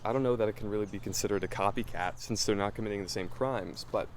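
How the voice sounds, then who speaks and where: A low-pitched American man acting as a determined amateur investigator in an audio drama. He reasons aloud, earnest and curious, with some background noise on the recording. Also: quick pace, natural voice. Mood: puzzled, probing. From Los Angeles, United States. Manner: thoughtful, earnest, questioning. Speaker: investigative podcast host. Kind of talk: naturalistic audio drama dialogue.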